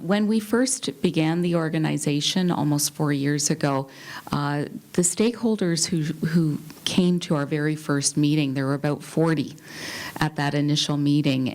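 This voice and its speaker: A woman's voice: sits low, a little mellow. A woman, a community group co-founder, methodically reporting results on a panel. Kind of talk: panel discussion. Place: university event hall.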